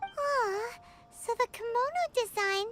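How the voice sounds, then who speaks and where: Squeaky, tiny fairy companion. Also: female voice, lively intonation, unhurried, a bit bright, heavily acted. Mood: curious. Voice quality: squeaky, childlike. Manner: refers to self by name, simple and eager. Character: tiny childlike fairy companion, chirpy and earnest. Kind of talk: video game story dialogue. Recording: music behind the voice.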